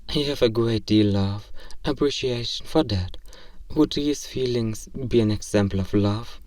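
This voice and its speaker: A man's voice: mid-range voice, measured pace, a little mellow, no echo, recorded from a distance, lightly acted. Naive, inquisitive robot voiced by a man for audio role-play; stilted politeness, literal delivery, mid-range voice, dry sound. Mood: earnest, tender. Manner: formal, literal, clinical wording, stiltedly polite. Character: earnest, curious, naive robot learning human love. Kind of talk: ASMR role-play comedy audio drama.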